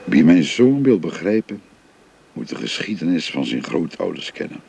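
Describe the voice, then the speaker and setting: A gravelly, very deep-voiced old father. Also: very animated, normal speed, darkish tone, slight background noise, heavily acted. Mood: gentle, confiding. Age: older. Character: older father telling his family history. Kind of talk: radio drama acting. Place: recorded on location.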